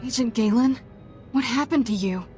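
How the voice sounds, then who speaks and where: A woman's voice: on the high side, moderate pace, a little mellow, close to an everyday voice. A woman playing a plucky, sarcastic young Padawan in a video game, with a wry delivery. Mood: concerned. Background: music.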